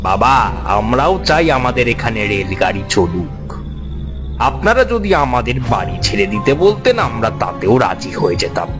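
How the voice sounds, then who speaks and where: A man playing a middle-aged villager who gravely tells of a local curse in an audio horror story. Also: mid-range voice, big pitch swings, unhurried. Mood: wary, earnest. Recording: loud music under the voice.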